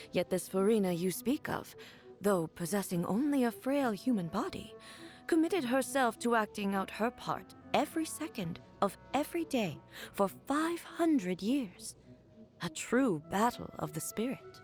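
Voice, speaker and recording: An actress playing a stern, unyielding godlike shogun in a video game, with formal, detached, clipped speech. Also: female voice, on the high side, sing-song, measured pace, a little mellow, faint music behind. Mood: solemn, admiring. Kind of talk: scripted video game character voice lines.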